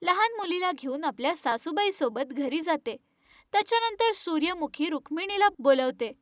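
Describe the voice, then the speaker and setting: A woman tells an animated moral tale as narrator. Her voice is extremely high and recorded very dry, with scripted storybook phrasing. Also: moderate pace, dry recording.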